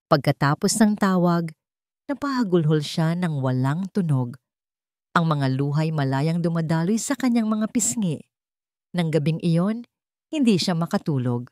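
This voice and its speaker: A woman's voice: on the low side, darkish tone, no echo, studio quality. A woman with a Filipino accent narrating a scripted dramatic story.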